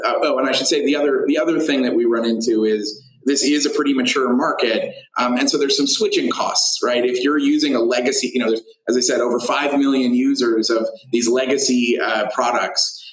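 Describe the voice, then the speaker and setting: A man giving unscripted interview answers as a tech company founder, halting and full of fillers as he thinks aloud. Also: mid-range voice, steady tone. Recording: roomy, echoey sound.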